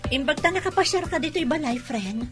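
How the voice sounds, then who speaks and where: A woman's voice: high-pitched, lively intonation, quick pace, over music. A woman acting a wronged but resolute wife and mother in a radio drama. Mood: hurt, resolute.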